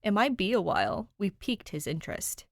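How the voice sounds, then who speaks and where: A woman's voice: medium pitch, big pitch swings, a little fast, no room sound, clean studio sound. A woman voicing an easygoing, amused young man in an audiobook, playful and a little patronizing. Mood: teasing. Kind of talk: audiobook character voice, fan fiction reading.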